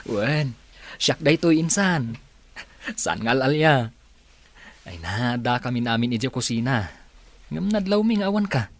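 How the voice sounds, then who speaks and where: A man's voice: mid-range voice, expressive, slow, pauses often. A young man with an Ilocano accent playing a cheerful, kind grandson in a radio drama. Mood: warm. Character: Lester, cheerful grandson back home.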